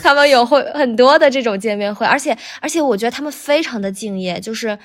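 A woman with a voice pitched a little high, speaking casually as a guest voice actor on a podcast. She is tentative, qualifies what she says and circles back over her phrases. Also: quick pace.

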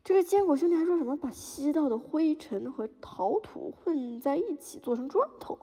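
A woman's voice: high voice, expressive, moderate pace, warm tone, big-room sound. A woman playing a proud, aggrieved older Beijing citizen who boasts and complains as a plaintiff in a satirical courtroom audio drama. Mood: indignant.

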